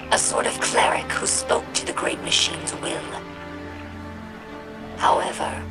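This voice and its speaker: Gravelly, whispery alien scribe. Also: female voice, medium pitch, poor audio. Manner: formal, precise, scholarly. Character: thoughtful alien female scribe, measured and quietly wounded. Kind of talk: scripted video game monologue. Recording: loud music behind the voice. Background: loud music.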